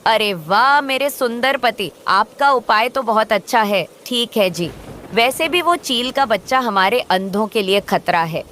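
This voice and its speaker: A woman voicing a devoted, conniving crow wife and mother in an expressive cartoon style. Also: fairly high pitch, unhurried, a little hiss or hum. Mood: flattering, sly. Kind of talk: Hindi moral-story cartoon dialogue.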